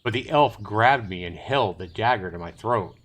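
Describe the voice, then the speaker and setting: Low-voiced middle-aged man streaming. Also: lively intonation, moderate pace, fairly bright tone. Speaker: game streamer. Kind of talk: casual livestream narration of a visual novel. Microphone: voice chat microphone.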